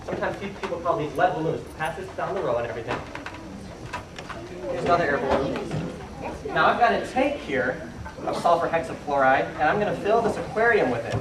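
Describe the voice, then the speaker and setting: A man's voice: a little high, expressive, brisk. A man giving an informal hands-on science demonstration to a young audience, explaining and asking them questions. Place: indoor room with live audience. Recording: echoey room, distant noisy camera microphone.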